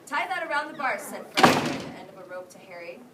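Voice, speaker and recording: A woman's voice: fairly high pitch, big pitch swings, fast. A woman schoolteacher reading aloud to her class and prompting the children with questions. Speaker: elementary school teacher. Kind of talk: interactive read-aloud to a class. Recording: roomy, distant mic, clearly noisy.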